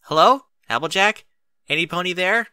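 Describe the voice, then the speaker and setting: A man's voice: sits high, animated, normal speed, pushed and bright, clear, resonant tone, no room sound, studio quality, pitched above the performer's own voice, heavily acted. A man voicing a polite, earnest young female pony in a neat, friendly manner for a story. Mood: calling out.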